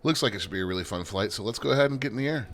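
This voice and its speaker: A low-pitched man talks through a flight sim session in an easygoing, chatty tour-guide manner, joking at his own expense. A constant hum runs beneath the recording. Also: very fast. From United States. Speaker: flight sim channel host. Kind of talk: casual gameplay commentary. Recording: steady background hum.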